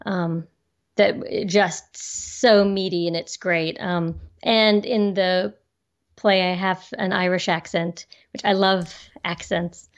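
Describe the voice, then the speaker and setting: A woman's voice: on the low side, fairly even intonation, slow, lo-fi sound. A woman from Georgia, a bubbly actress chatting as a guest on a video call, laughing often and joking about herself. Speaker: actress and voiceover artist, guest. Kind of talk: casual interview chat.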